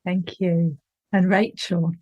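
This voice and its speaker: A woman hosting a podcast panel, welcoming guests in a measured, courteous way. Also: lowish voice, flat delivery, a little mellow, lo-fi sound. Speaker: podcast host.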